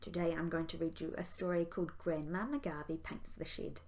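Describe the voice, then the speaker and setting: A woman's voice: fairly low pitch, fairly even intonation, normal speed, darkish tone. A woman, a primary school teacher, reading a children's story aloud expressively.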